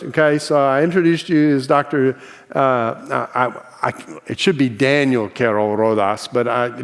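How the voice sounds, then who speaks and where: A man's voice: mid-range voice, big-room sound. An older man hosting an interview, talking in a friendly, rambling way with many fillers and restarts. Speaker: interview host.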